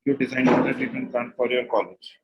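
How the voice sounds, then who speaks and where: A man's voice: medium pitch, fairly even intonation, brisk, dull audio. A man with an Indian accent working as a mock interviewer, instructive, repeating and rephrasing his questions and advice. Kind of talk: interview questioning and feedback, switching between two languages.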